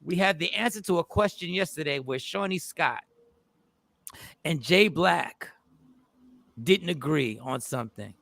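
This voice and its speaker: A man's voice: a little high, animated, a little slow, creaky, long pauses, a bit bright, dry recording. A man hosting a morning talk show, teasing his co-hosts with mock courtroom questions. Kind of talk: casual radio show banter.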